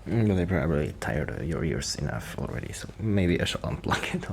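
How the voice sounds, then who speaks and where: A man with an extremely low, gravelly voice speaks softly, as in an ASMR roleplay. He rambles shyly and hesitantly, like a friend chatting casually. There is clear noise in the recording. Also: very animated, moderate pace. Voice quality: soft-spoken, sometimes dropping toward a whisper. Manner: shy, apologetic, hesitant and rambling. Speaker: ASMR roleplay performer playing a musician friend.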